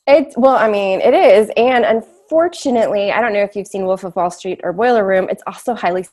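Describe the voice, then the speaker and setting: A woman's voice: mid-range voice, brisk, a little mellow. A woman with a big voice, giving candid, reflective interview answers drawn from her own experience. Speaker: interview guest.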